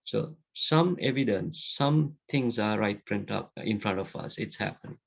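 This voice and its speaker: A man's voice: on the low side, expressive, unhurried, gravelly, breathy edge. A middle-aged man from Sri Lanka answering student questions on a video call, talking conversationally and thinking aloud with restarts and fillers. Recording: dull lo-fi video call audio.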